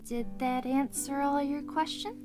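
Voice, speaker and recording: A knowledgeable woman who keeps a kingdom's lore in an audio drama. She speaks in a high voice, measured and courteous, with prominent plucked music underneath. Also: steady tone. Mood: explanatory. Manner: measured, formal, helpful. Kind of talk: audio drama acting, explanatory storytelling. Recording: loud harp music behind the voice.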